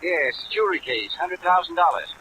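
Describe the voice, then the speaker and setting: Concerned executive, telephone voice, forceful tone, 1950s radio drama, light hiss. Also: male voice, on the high side, sing-song, normal speed, rough recording. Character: concerned, businesslike male insurance executive on the telephone. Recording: old radio recording, faint rain hiss.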